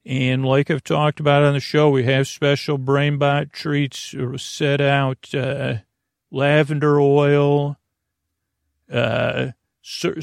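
Man, creaky gravelly voice, mid pitch, close dry sound, meandering bedtime podcast host, halting, whimsical, reassuring. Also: no room sound. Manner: meandering, halting, repeats and trails off mid-sentence. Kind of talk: rambling bedtime sleep podcast monologue.

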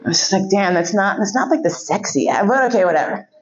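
Woman speaker, frank and profane storyteller, middle pitch, slightly muffled lo-fi sound. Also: lively intonation, quick pace, noisy background. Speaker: podcast host giving a keynote speech. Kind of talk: storytelling speech.

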